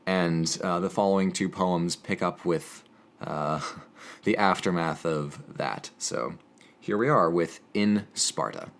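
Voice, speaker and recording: A man hosting and reading poetry, moving between casual commentary and measured, theatrical literary delivery. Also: sits low, creaky. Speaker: host of an educational channel.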